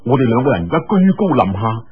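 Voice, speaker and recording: Man playing teasing young swordsman, husky voice. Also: medium pitch, sing-song, fast talker, narrow, tinny audio. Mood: relieved. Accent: Cantonese. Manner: bantering, colloquial.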